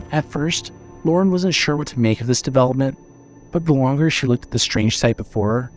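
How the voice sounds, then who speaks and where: A man's voice: mid-range voice, a little mellow. A man reading scripted horror fiction as the narrator of an audio drama.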